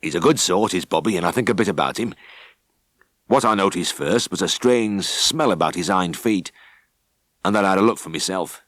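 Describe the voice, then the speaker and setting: A man's voice: low voice, expressive, a bit bright, no room sound. A man voicing a big, stern, blunt farmer with a Yorkshire accent in an audiobook story. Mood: gruff, proud. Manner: blunt, measured.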